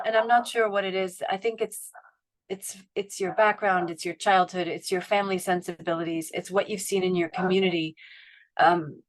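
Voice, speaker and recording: A middle-aged woman on a panel telling her own career story in a reflective, roundabout way, with many restarts and fillers, speaking over a video call from home. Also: on the low side. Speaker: panelist at a family foundation. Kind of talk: webinar panel talk.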